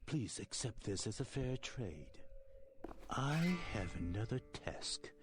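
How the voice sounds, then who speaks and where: An actor playing a creepy old shopkeeper in a video game, eerily polite, with slow, formal, deliberate delivery. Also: male voice, fairly low pitch, big pitch swings, music in the background. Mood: eerily pleased.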